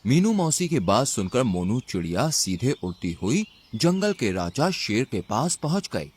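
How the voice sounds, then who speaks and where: A man's voice: mid-range voice, sing-song, moderate pace, a little mellow, breathy edge. A man narrating a scripted children's story as the storyteller.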